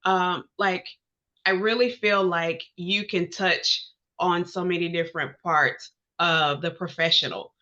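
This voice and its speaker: A woman's voice: on the low side, fairly even intonation, unhurried, sharp tone. A woman from Georgia in the United States hosting a casual interview, speaking informally and affirming her guest. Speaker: interview host. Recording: dull lo-fi audio.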